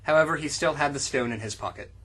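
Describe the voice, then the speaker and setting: A man's voice: a little high, fast talker, fairly bright tone, husky. A man doing a casual comic reading of fanfiction as host, breaking off into incredulous, profane banter.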